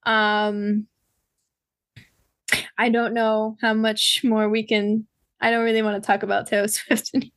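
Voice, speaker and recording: A hoarse woman co-hosting a podcast, rambling in a casual chat with lots of fillers. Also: medium pitch, completely flat delivery, a little slow, long pauses, a bit bright, no echo. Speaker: podcast co-host.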